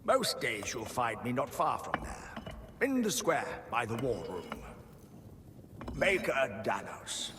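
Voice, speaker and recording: A man's voice: mid-range voice, sing-song, slow pace, background noise. An actor voicing a scornful, grumbling repairman who mutters sneering asides to himself, in an echoey game recording. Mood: gruff.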